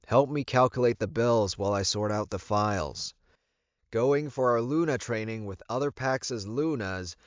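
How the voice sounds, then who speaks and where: A man's voice: on the low side, dry recording, pitched above the performer's own voice, lightly acted. A man voicing a commanding, short-tempered male werewolf alpha in an audiobook, emphatic and authoritative. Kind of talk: audiobook character voice. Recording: muffled, dull recording.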